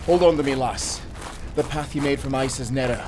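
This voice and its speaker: A man's voice: medium pitch, fairly quick, a little mellow, slight background noise, lightly acted. An actor playing a loyal young male sailor, urgent and caring, speaking earnestly to a companion in an audio adventure. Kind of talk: cinematic audio roleplay acting.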